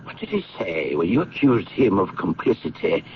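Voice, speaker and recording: An actor playing a brisk, worried British police inspector in a radio drama, questioning in a clipped, probing way. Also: male voice, low voice, very animated. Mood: pressing, worried. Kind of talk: old-time radio drama acting. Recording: muffled, noisy radio drama recording.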